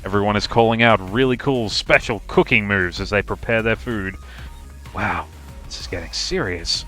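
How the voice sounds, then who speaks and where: A man with a lowish voice reads a video game script aloud on a live stream. He slips between narration and casual, swearing commentary, with faint music playing behind him. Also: moderate pace, sharp tone.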